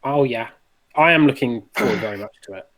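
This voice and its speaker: A man with a medium-pitched voice takes part in a podcast panel. He speaks informally, in the manner of a casual conversation about games. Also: brisk, fairly bright tone. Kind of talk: casual chat.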